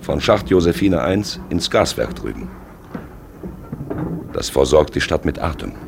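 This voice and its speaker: Very deep, gravelly brooding narrator guide. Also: male voice, very animated, measured pace, background noise, heavily acted. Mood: brooding, intent. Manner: insistent, confiding, rhetorical asides. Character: male narrator guiding listeners round a railway accident scene, probing, brooding. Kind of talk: German radio play narration, conversational direct address.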